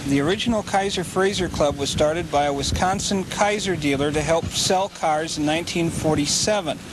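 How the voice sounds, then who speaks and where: A man's voice: a little high, normal speed. A man speaking matter-of-factly as a club historian being interviewed on location outdoors. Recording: noisy outdoor on-location sound.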